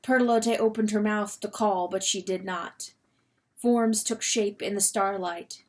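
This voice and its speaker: A woman reader gives a dramatic storybook narration at a medium pitch. Her delivery is expressive and suspenseful. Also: measured pace. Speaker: audiobook reader.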